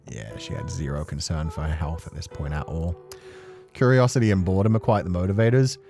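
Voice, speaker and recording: Casual male streamer, lowish voice, animated and free with swearing, music underneath. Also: brisk, gravelly. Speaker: streamer playing and reading a visual novel. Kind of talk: casual commentary on a story game.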